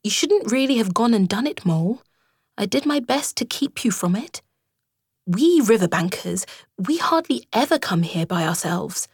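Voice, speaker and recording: A woman voicing a brave, kindly female water rat who talks in a lively, reassuring way as a storybook character. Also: moderate pace, husky, no room sound, clean studio sound. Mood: soothing, lecturing. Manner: lively and reassuring, animated swoops in pitch.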